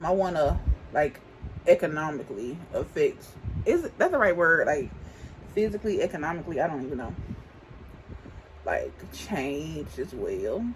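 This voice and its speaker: A woman's voice: sits low, big pitch swings, normal speed, long pauses, a little hiss or hum, rough recording. A woman in her twenties chatting casually to camera while doing her makeup, rambling and restarting her sentences, with speech. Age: 20s. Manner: rambling, thinks aloud with many restarts and "like". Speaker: beauty vlogger.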